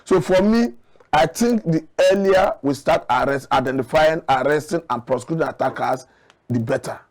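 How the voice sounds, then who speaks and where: A man with a Nigerian accent giving current affairs commentary as a guest analyst, measured and earnest as he argues his points. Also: a little high.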